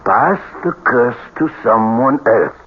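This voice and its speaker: An actor playing an ominous, deliberate occult expert with portentous speech in a radio drama. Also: male voice, mid-range voice, lively intonation, slight background noise. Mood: warning. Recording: tinny old radio broadcast.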